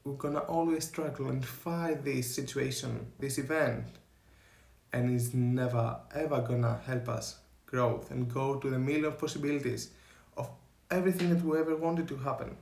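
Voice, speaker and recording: A young man with a Greek accent, talking earnestly to camera in slow, halting speech as he searches for words. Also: medium pitch, steady tone, vocal fry, a little mellow, reverberant. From Greece. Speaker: vlogger.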